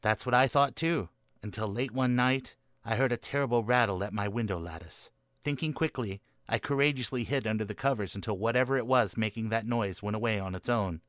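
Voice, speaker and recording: A man's voice: on the low side, a little fast, fairly bright tone, no echo, clean studio sound, lightly acted. A man playing a morose, melodramatic boy in a comic gothic radio play, speaking in florid, solemn storytelling fashion. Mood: dramatic, fearful. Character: morose, melodramatic boy telling a doom-laden family curse tale.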